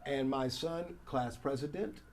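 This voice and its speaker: A man's voice: medium pitch, measured pace, heavy background noise. A middle-aged American man, a radio broadcaster being interviewed, tells stories in a polished, emphatic conversational way, repeating phrases for effect. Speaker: radio program director and morning show host, interview guest. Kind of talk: animated conversational storytelling.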